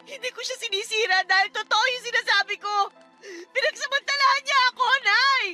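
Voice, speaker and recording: A woman's voice: extremely high pitch, fairly quick, pushed and bright, exaggerated voice. A woman playing a wronged, anguished young daughter who pleads with her mother in an emotional radio drama. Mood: anguished, defiant. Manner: pleading, emotional, respectful turning defiant. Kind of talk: Tagalog radio drama acting. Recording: dramatic music under the dialogue.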